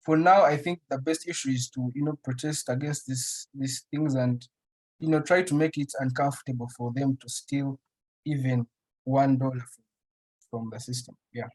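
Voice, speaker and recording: A young man from Uganda answers questions in an interview. His voice sits at a middle pitch, and he pauses often, restarting his sentences as he thinks aloud. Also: fairly even intonation, a little mellow, low-quality recording. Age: young adult. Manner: thoughtful, many restarts and fillers. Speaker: interview guest, writer.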